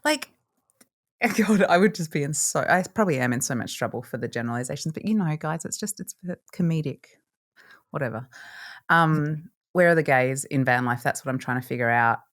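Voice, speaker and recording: A woman's voice: low voice, expressive, a little fast. A woman, co-hosting a podcast in casual chat, bubbly and joking, often laughing. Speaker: podcast co-host.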